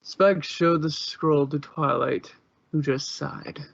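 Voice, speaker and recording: A man's voice: a little high, fairly even intonation, slow pace, vocal fry, dry recording, highs cut off. A man narrating fan fiction in an informal amateur style, occasionally stumbling over words.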